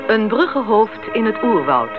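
A man's voice: high voice, sing-song, normal speed. A man announcing a radio serial in a dramatic scripted style. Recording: thin, phone-like old radio recording. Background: music.

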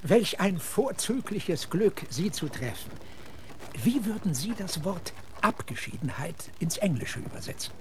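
An actor playing a middle-aged, philosophical gentleman and former officer in a German radio drama, speaking formally, deliberately and thoughtfully. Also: sits high, sing-song, slight background noise. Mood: cheerful, musing.

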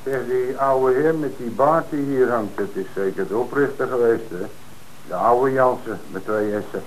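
An actor playing an eager, insistent police detective in an old radio play, on a noisy old radio recording. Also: male voice, mid-range voice, moderate pace, close to an everyday voice. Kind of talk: 1960s radio play acting.

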